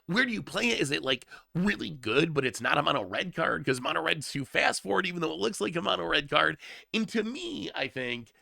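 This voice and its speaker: A man with a gravelly, bright voice that climbs and dips a lot, talking casually on a livestream. His voice is strained and worn from congestion, and he often repeats himself while thinking aloud. The sound is dry and close. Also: on the high side, sing-song, brisk, dry recording. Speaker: streamer reviewing card spoilers.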